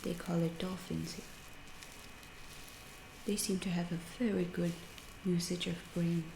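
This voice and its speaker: A woman playing a calm, curious alien scientist in an ASMR roleplay, speaking softly and often in a whisper, with a detached, clinical, reassuring manner. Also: fairly low pitch, measured pace. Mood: curious, musing. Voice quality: soft, breathy, often whispered. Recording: roomy echo, clear background noise.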